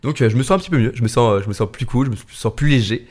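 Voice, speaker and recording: A man's voice: mid-range voice, rapid-fire, a little mellow. A young man hosting his student podcast, talking casually and tiredly late at night, with lots of hesitations and restarts. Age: young adult. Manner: hesitant, many fillers and restarts. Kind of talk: casual solo podcast talk.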